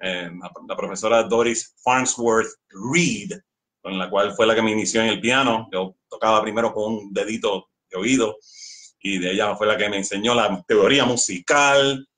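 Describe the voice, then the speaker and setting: A middle-aged Puerto Rican man with a Puerto Rican accent talks as a guest in a loose, exuberant way. His voice has a bright, forceful edge. He is picked up at a distance by a camera in a small room. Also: fairly low pitch, moderate pace. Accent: Puerto Rican Spanish. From Puerto Rico. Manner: exuberant, laughs often. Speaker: musician guest. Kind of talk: casual interview chat. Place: small indoor studio room.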